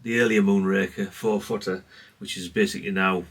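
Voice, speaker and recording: A man's voice: low-pitched, steady tone, fairly quick, far from the mic. A man giving a casual, rambling, understated show-and-tell, speaking off the cuff. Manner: rambling, self-effacing, understated. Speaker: hobbyist presenter.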